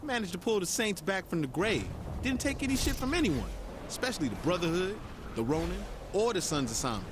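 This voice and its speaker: Cocky gangster celeb in a video game, high swoopy voice, brags and gripes, noisy behind. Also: male voice, brisk. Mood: admiring, brash. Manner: boastful, griping, expressive. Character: cocky, aggrieved male gangster turned celebrity, bragging. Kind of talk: scripted video game audio log monologue.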